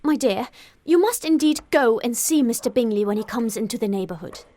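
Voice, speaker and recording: A woman voicing an excitable, nagging middle-aged wife with a British accent in an audiobook, gushing and fretful. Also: on the high side, brisk, close to an everyday voice. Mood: pleading. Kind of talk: audiobook character acting.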